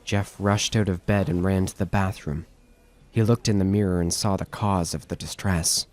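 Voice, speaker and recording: A man's voice: deep voice, steady tone, normal speed, a little mellow. A man giving scripted narration of a horror story as the narrator of an audio drama. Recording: music bed under narration.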